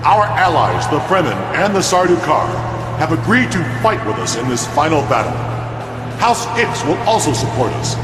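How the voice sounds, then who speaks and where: Commanding nobleman, dramatically varied pitch. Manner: stern, stately, oratorical. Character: commanding, resolute male nobleman and war leader. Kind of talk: scripted science fiction game cutscene acting. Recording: echoing room, music underneath.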